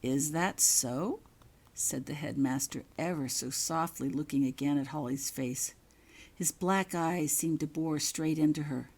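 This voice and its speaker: A woman reading her own fiction aloud as expressive audiobook-style narration. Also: sits low, measured pace, slightly rough. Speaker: author reading own story.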